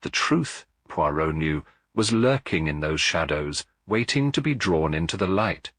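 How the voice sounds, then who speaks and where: A man narrating a scripted mystery story in a measured, dramatic storytelling manner. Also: low-pitched, big pitch swings, a bit bright, dry recording, clean studio sound. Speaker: audiobook narrator.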